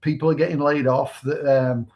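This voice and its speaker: Man, Manchester accent, relaxed, conversational, mid-pitch voice. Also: moderate pace. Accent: Manchester English. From England. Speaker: co-host. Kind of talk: casual online talk show.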